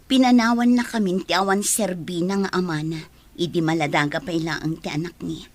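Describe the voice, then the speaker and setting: A woman's voice: on the low side, steady tone, fairly quick, dry recording, natural voice. A woman with a Filipino Ilocano accent playing a hardworking, friendly and frank single mother in a radio drama. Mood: frank, sympathetic.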